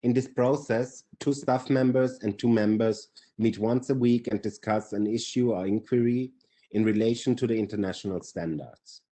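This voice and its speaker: Finnish accent guy reading his notes on a video call, kinda low and mellow. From Finland. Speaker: webinar panelist. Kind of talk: reading prepared remarks.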